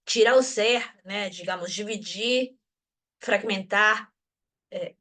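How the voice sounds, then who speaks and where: A woman's voice: mid-range voice, slow pace, bright, forceful tone. A woman from Venezuela speaking in an academic discussion group, thoughtful, often restarting and adding tag questions. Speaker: discussion participant.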